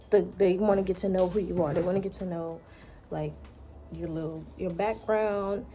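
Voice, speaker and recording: An American woman vlogger chatting casually in a playful, sassy, slangy way, her voice slightly hoarse. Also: medium pitch, mellow. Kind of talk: casual chatty day-in-the-life vlog.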